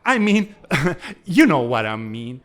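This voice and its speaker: A man's voice: mid-range voice, sing-song, slow pace, a bit bright. A man voicing a hapless, bewildered teenage student in a comic dub, hesitant and exasperated, on a noisy home microphone. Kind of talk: animated comic-dub voice acting.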